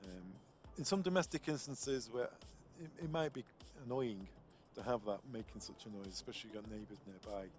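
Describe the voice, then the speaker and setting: A man presenting an unscripted software tutorial step by step, explaining as he goes and often repeating words as he finds his phrasing. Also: fairly low pitch, fairly quick, a little hiss or hum. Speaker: product trainer demonstrating intercom software.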